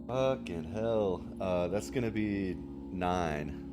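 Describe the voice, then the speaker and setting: A man with a deep voice plays in a tabletop roleplaying game. He talks casually about rules and rolls, with music clearly audible underneath. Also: slow pace. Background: background music.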